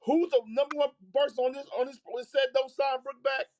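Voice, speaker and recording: A man co-hosting a casual basketball live stream, talking in an animated way and repeating himself as he builds a point. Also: very high voice, brisk, highs cut off. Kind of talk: casual sports talk.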